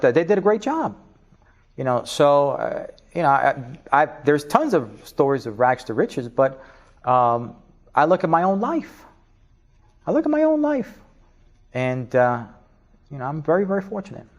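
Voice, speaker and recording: A middle-aged man from Spanish Harlem with a New York accent, giving an animated interview, laughing often and restarting his sentences. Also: fairly high pitch, unhurried, long pauses. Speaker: interview guest, business leader. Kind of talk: casual interview talk.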